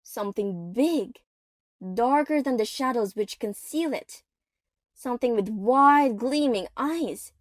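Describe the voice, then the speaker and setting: Slow, theatrical woman narrator. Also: on the high side, lively intonation, no room sound, clean studio sound. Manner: theatrical, suspenseful, with pauses for effect. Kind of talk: dramatic scripted narration of an audio play. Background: music.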